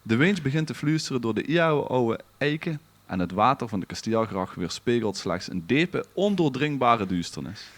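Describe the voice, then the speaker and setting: A man's voice: mid-range voice, sing-song, normal speed, hardly any pauses. A man with a Limburgish Dutch accent telling a scripted story in a theatrical way as a podcast co-host. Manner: dramatic, bantering with co-hosts.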